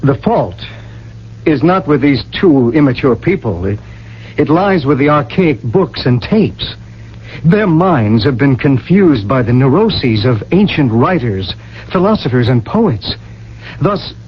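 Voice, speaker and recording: A genteel, earnest advocate in radio drama style. His voice is a little high and very animated, with formal phrasing. The old recording is dull with faint noise. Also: measured pace. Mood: earnest pleading. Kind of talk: 1980 radio drama acting.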